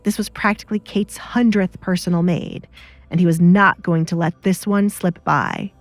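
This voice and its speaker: A woman's voice: on the low side, fairly even intonation, moderate pace, no echo, soft background music. Woman narrating a drama, very dry sound. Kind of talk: scripted story narration.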